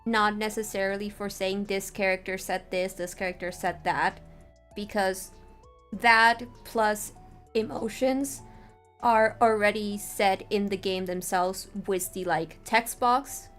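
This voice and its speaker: A woman streamer with a medium-pitched voice gives an informal tutorial. She talks in a chatty, conversational way and checks in as she explains. Music plays along with her voice. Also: fairly even intonation, slow pace, fairly bright tone. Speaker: streamer teaching how to make visual novels. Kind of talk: casual livestream tutorial talk.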